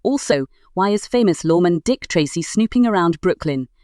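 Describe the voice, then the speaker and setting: Casting: an actor as golden-age radio station announcer, medium pitch, dry studio sound, late-night scripted notices. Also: expressive, normal speed, a little mellow, clear, resonant tone, no echo, natural voice. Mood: formal, showy. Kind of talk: 1940s radio acting, scripted sign-off.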